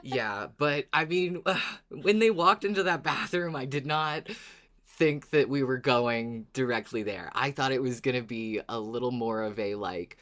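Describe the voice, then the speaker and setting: A man's voice: medium pitch, unhurried, a bit bright. A man co-hosting a podcast, chatting casually and recapping in a playful, wry, dramatic way. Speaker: podcast co-host.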